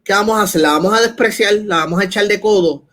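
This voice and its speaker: Give this a Puerto Rican man's voice, quite high in pitch. He co-hosts a casual discussion show and argues emphatically, often throwing out rhetorical questions to make his point. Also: fast, a bit bright. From Puerto Rico. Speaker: podcast co-host.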